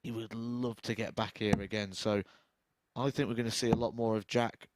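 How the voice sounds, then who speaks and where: A man's voice: lowish voice, flat delivery, moderate pace. Darts co-commentator guy, keen and opinionated, noisy live feed behind him. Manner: enthusiastic opinionated analysis.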